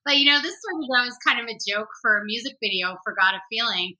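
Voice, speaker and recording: Bright-voiced chatty woman, laughing easily. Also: a little high, animated, fast, rough recording. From Canada. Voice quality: soft voice with slight hoarseness. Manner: chatty, laughs often, sings snippets. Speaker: country-rock singer, interview guest. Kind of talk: casual interview chat.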